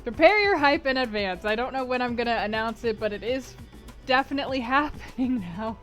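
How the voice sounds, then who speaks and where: A woman's voice: a little high, lively intonation, brisk, fairly bright tone, soft background music. A woman hosting a live-streamed book club, chatting casually with her viewers in a rambling way and laughing often. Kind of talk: casual chat with live chat before a stream reading.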